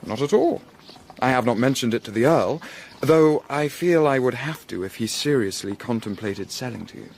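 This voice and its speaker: An actor playing a young, courteous but determined Victorian gentleman investigator with an educated English accent, speaking politely and with dry irony. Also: mid-range voice, lively intonation, gravelly, a little hiss or hum. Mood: calmly accusing. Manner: polite, measured, dryly ironic. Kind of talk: period radio drama acting.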